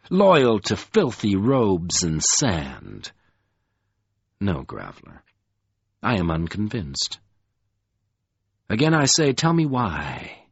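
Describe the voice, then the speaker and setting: A man voicing a scornful, mocking ancient dark lord in an audiobook, slow and husky. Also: no echo, dull audio, exaggerated voice. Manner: slow, deep, sneering delivery. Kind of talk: audiobook character voice.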